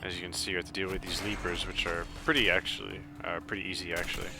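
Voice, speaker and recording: Low, even-voiced man giving halting game commentary. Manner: halting, explanatory, unhurried. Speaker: video game walkthrough host. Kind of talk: casual game walkthrough commentary. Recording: game music and effects behind voice. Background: video game music.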